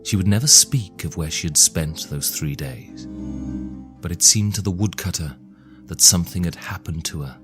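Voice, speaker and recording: A man narrating a fairy tale in a measured, theatrical storytelling manner. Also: low-pitched. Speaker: storyteller and author reading his own tale. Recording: music under the voice. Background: music.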